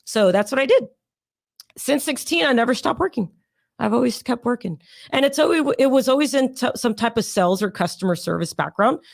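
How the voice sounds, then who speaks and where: Raspy-voiced woman telling her story. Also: a little high, expressive, a little fast, dry recording, studio quality. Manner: rambling, chatty, many fillers. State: hoarse from sinus pressure. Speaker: guest. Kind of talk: casual interview storytelling.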